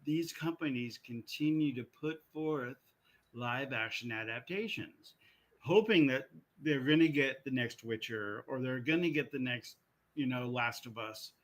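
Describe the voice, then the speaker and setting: A man with a mid-pitched voice talks as a podcast co-host. His delivery is casual and conversational, like chat among friends. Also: unhurried, fairly bright tone.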